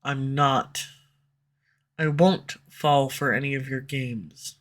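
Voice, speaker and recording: A woman voicing a flustered tsundere female villain in love, in an audio roleplay for a listener, defensive at first and then tender. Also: very deep voice. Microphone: USB condenser microphone at home.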